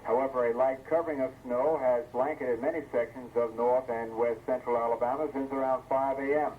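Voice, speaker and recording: A man's voice: mid-range voice, fairly even intonation, brisk. A man reading a scripted weather forecast as an announcer on an old weather radio broadcast. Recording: muffled, noisy 1980s weather radio broadcast.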